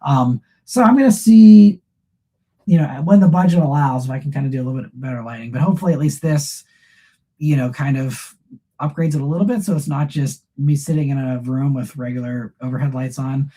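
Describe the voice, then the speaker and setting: A man's voice: medium pitch, fairly quick, dark tone. A male host of a live car stream with a scratchy voice from a cold, answering viewer questions in a loose, rambling way while sitting in a room at home. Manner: loose, rambling, thinks aloud. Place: room at home with shelves behind him.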